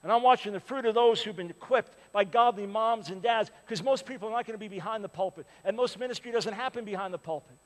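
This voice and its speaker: A man in his sixties preaching a sermon, swinging from loud appeals to hushed, pleading lines. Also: high-pitched, fast, few pauses, big-room sound. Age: 60s. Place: large church with a balcony.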